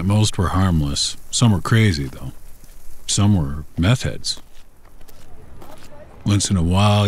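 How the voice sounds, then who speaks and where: A man tells a first-person horror story in a casual, folksy, unhurried, conversational way, like someone recounting his own memories. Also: deep voice, slight background noise. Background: background music.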